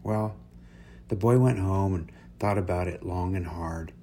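A man with a deep, low voice reads an uplifting story aloud as its narrator. His phrasing is deliberate and measured, with pauses along the way. There is noticeable noise and hum behind him. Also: vocal fry, warm tone. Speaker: story reader, host. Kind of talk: scripted inspirational story narration.

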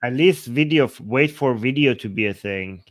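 A man hosting a casual panel discussion, sarcastic, mocking arguments with quick imitated quotes. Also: medium pitch. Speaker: host.

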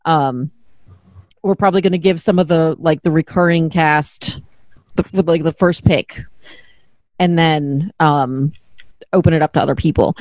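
A woman's voice: sits low, measured pace, pauses often, a little mellow, dry recording. A middle-aged woman hosting a podcast in casual chat, candid and self-deprecating, swearing freely. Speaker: podcast host.

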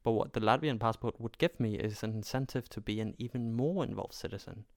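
A man's voice: fairly low pitch, brisk, dry recording, studio quality. A man from Denmark with a Danish accent speaks in an emphatic, argumentative way, driving points home with repeated phrases in an opinionated conversation.

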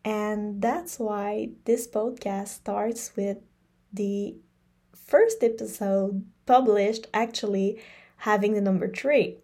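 A woman whose first language is French, hosting a solo podcast in a slow, reflective monologue that repeats phrases for emphasis. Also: medium pitch, fairly even intonation, warm tone. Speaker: podcast host.

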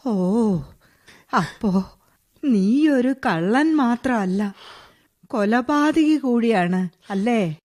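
A woman's voice: fairly high pitch, expressive, extremely slow, pauses often, darkish tone, exaggerated voice. A woman acting a bedridden old widow in a Malayalam radio drama, with a Kerala accent, sighing between phrases, nostalgic and firm yet motherly. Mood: probing, concerned.

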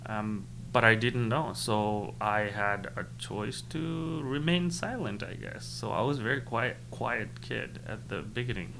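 A young man from Nepal speaks, his being Khas. He answers in a thoughtful, halting way, full of fillers and restarts, at a middle pitch. A faint hum runs under the recording. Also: lively intonation, gravelly, bright, forceful tone, low-quality recording. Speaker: interviewee. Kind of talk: casual interview.

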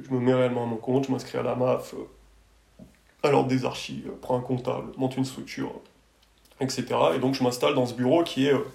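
A man's voice: medium pitch, flat delivery, brisk, creaky, darkish tone. A man from France talking casually as an interview guest, telling his story in a hesitant, colloquial way full of verbal tags. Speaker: architect, podcast guest. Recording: roomy echoing sound.

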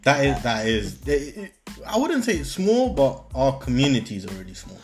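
A young man from South Africa, guest on a chat podcast, giving calm, dry, understated replies. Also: medium pitch, normal speed, faint music behind.

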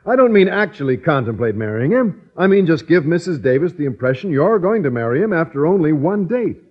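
An actor playing an earnest, naive suitor in a 1950s radio sitcom, eagerly explaining himself. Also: male voice, fairly high pitch, very animated, brisk, husky. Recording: dull vintage radio recording.

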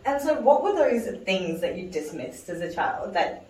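A woman's voice: lowish voice, sing-song, moderate pace, darkish tone. A woman hosting an interview show, asking friendly, curious questions. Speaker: podcast host. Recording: echoey room, mic at a distance.

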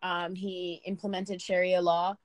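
A woman's voice: on the low side, little pitch movement, a little slow. A young woman from Sudan, a university student, speaking as a podcast guest in a chatty way, with many fillers and restarts. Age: young adult. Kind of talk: casual interview talk.

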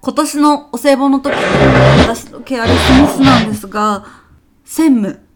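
High-voiced, deferential female office worker played by an actress. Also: very noisy. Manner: polite, businesslike, deferential. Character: dutiful office employee woman briefing her boss, hay fever sneezes. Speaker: former stage troupe actress. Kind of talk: scripted comedic audio drama acting.

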